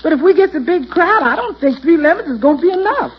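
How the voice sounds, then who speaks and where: An actress playing a good-humored, folksy household helper in a 1940s radio comedy. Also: female voice, very high voice, lively intonation, fast talker. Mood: amused. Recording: muffled, slightly noisy 1940s radio recording.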